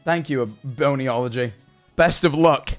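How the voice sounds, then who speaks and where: A man's voice: mid-range voice, a little slow, faint music behind. A young man, reading viewer messages aloud on a livestream with sarcasm and a lot of swearing. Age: young adult. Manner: animated, sarcastic, swears often. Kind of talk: reading and reacting to chat. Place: small bedroom streaming room. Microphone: headset-style studio mic, seated at desk.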